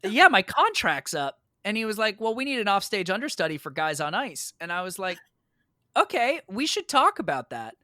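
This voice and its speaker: Animated man telling casual stories, bright voice. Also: high voice, normal speed, no echo, clean studio sound. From United States, New York. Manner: animated, acts out both sides of remembered exchanges. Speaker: podcast guest, stage actor. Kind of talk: casual anecdotal storytelling.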